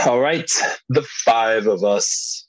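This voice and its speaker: A man playing a sardonic, ambitious wizard with a dry, sarcastic delivery in an animated fantasy table read. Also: medium pitch, very slow, dry recording, rough recording. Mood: grudging.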